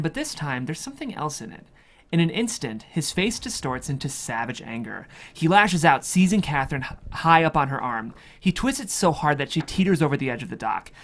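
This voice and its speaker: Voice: mid-range voice, a bit bright. A narrator reads screenplay action lines and scene headings as scripted dramatic narration. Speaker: script narrator reading stage directions.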